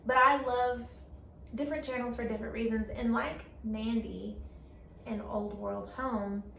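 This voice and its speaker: A woman's voice: a little high, steady tone, a little slow, creaky, a bit bright. A woman in her thirties from North Carolina, chatting enthusiastically as host. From Fayetteville, North Carolina. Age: 30s. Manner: enthusiastic, effusive. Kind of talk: casual chat. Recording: echoey room, mic at a distance, faint noise.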